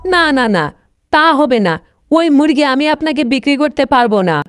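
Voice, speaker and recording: Woman acting a shrill, excitable village mother. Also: moderate pace, no room sound, faint music behind. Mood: flustered refusal. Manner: wailing, exclamatory, repeats words in fright. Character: woodcutter's wife, anxious, easily tempted village mother.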